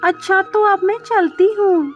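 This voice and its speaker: A woman plays a young female cartoon bird, a hardworking maid with a very high voice. She speaks politely and earnestly, modest and dutiful, over faint music. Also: steady tone, unhurried, muffled recording. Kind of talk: Hindi children's cartoon story dialogue.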